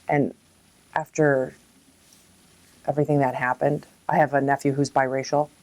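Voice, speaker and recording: A woman speaking reflectively and earnestly in an interview, in a halting way. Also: extremely low pitch, flat delivery, a little mellow.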